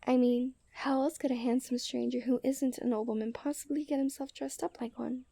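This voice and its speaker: Woman acting a soft, high-voiced coy young princess. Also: steady tone, a little fast. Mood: playful, knowing. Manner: playful, formal storybook diction, often dropping to soft breathy near-whispers. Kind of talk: scripted romantic ASMR roleplay. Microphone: close studio-style microphone.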